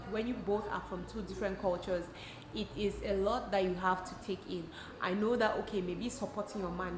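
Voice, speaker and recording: Casting: female vlogger, Cameroonian accent, emphatic and conversational, mid-range pitch; echoey, noisy home-style recording. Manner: animated, emphatic, conversational, rhetorical questions. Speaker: host of a YouTube channel. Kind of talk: casual advice vlog answering viewer questions. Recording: echoey room, clear background noise.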